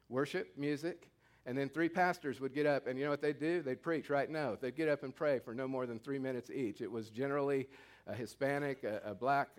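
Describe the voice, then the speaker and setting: A pastor from California delivering a sermon, stressing key words by slowing down, with frequent restarts. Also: male voice, a little high.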